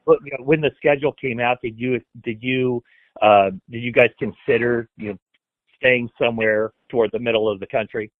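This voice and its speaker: A man's voice: medium pitch, steady tone, a little fast, no room sound. A man working as a sports reporter, putting questions on a press call in a hesitant, stop-start way. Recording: dry phone-like conference call line.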